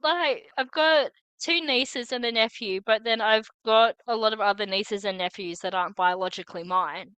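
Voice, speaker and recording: A woman's voice: medium pitch, normal speed, hardly any pauses, fairly bright tone, smooth, dry recording. Giggly woman in her twenties. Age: 20s. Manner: giggly, chatty. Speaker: podcast co-host. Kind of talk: casual chat.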